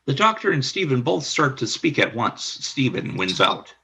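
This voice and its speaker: A man's voice: medium pitch, very animated, moderate pace, creaky, pushed and bright, breathy edge. A man narrating the stage directions of a radio play in a plain, matter-of-fact way.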